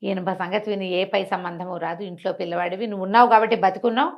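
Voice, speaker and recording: ఒక స్త్రీ అభినయించే బతిమాలే పల్లెటూరి తల్లి. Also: female voice, mid-range voice, fairly even intonation, quick pace, highs cut off, voice pushed down, natural voice. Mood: pleading. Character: pleading village wife and mother, grateful, insistent.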